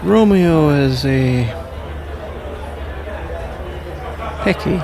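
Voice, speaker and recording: A man with a British accent playing a suave, suspicious and powerful mafia crime lord in an intimate ASMR roleplay, measured, self-assured and teasingly condescending. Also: a little high, animated, gravelly, exaggerated voice. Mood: amused. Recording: noisy bar-like crowd ambience behind.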